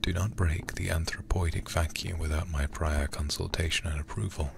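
A man streaming in a hushed ASMR style, reading horror game text deliberately into a close microphone. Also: extremely low pitch, fairly even intonation, creaky, background noise.

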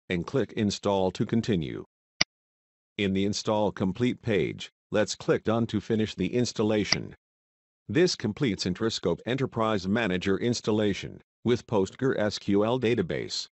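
A man with a fairly low voice narrates a software tutorial. His delivery is scripted, measured and instructional, moving step by step. The sound is close and dry, like a studio voice-over. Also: lively intonation, dry recording.

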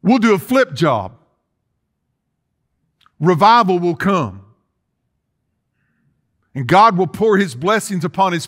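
Middle-aged man, pastor, high voice, sermon, call-and-response, church sanctuary. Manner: preaching with call-and-response, reading scripture aloud. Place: church sanctuary with empty chairs.

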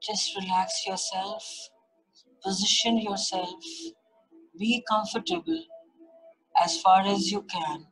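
A woman leading a guided meditation, giving gentle, unhurried instructions in short repeated phrases with long pauses. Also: mid-range voice, rough recording. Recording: music behind the voice. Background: meditation music.

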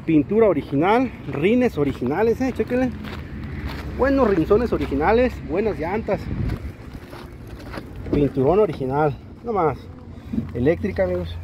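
A Mexican man with a fairly high voice walks through an outdoor used-car market, hyping each vehicle in a lively, slangy sales patter. He is recorded on a handheld camera, with clear outdoor street noise behind him. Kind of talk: walk-around car showcase.

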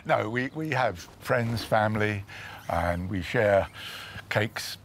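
Genial older English vicar, low voice. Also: male voice, slow pace, a little hiss or hum. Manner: chuckling, genial. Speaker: retired vicar. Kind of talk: casual documentary interview. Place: garden and church, on location.

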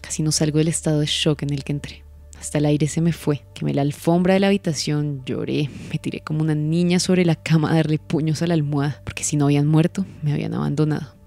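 An actress playing a cold, vengeful Colombian señora in a radio drama, taunting and deliberate in the way she talks. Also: low-pitched, fairly even intonation, creaky, dark tone, soft background music. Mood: reminiscing, bitter. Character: cold, vengeful señora savouring her long-awaited revenge.

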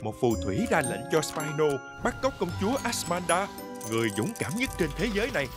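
An actor voicing a shy, earnest little theatre truck who dreams of performing, polite and expressive, in a cartoon dub. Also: mid-range voice, a little fast, music in the background, natural voice. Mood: storytelling.

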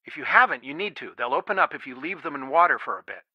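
An actor playing a protective, loving, middle-aged father in a video game, earnest and measured. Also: on the high side, big pitch swings, husky, no room sound, muffled recording. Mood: warm, reminiscing. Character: protective, worn, loving father of a young detective.

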